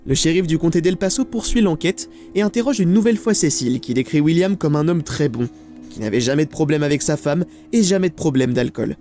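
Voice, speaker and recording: A man's voice: fairly high pitch, animated, brisk, mellow. A man narrating a scripted mystery documentary with dramatic, suspenseful phrasing. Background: background music.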